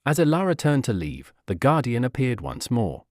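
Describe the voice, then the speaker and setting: Male narrator for kids' storybook: medium register, lively melodic delivery, dry recording. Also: medium pitch, normal speed, dry recording. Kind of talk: scripted children's fairy tale narration.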